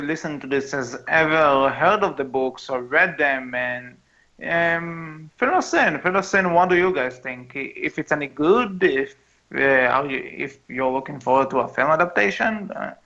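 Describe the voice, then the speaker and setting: A man's voice: on the high side, lively intonation, unhurried, bright, forceful tone. A man hosting a casual podcast discussion, hesitant and often repeating himself. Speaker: podcast host. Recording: dull lo-fi mic.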